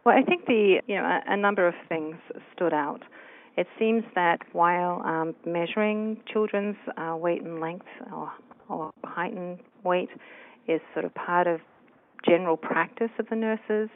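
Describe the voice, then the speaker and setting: A woman's voice: fairly low pitch, unhurried. A woman from New Zealand, a researcher answering interview questions thoughtfully with many fillers. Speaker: researcher guest. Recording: tinny phone line.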